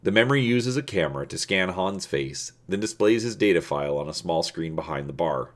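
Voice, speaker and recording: A man hosting and dramatically reading an adventure story, deliberate and stretching words for emphasis. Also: low-pitched, animated. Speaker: video host and storybook narrator.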